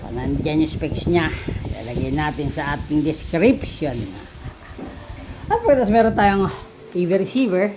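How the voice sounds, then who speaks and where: Casting: Filipino vlog host, medium register, casual Taglish product chat, halting delivery with questions tossed to viewers. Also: medium pitch, noisy background. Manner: Taglish code-switching. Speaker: vlog host showing vintage audio gear.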